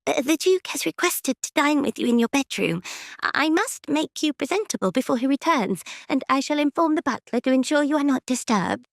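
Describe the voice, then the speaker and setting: A timid young maid, acted by a woman, speaks in a high-pitched voice. She is hesitant, deferential and easily flustered, in the style of a period audio drama. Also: animated, a little fast, creaky, no echo, clean studio sound. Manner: hesitant, servile, eager.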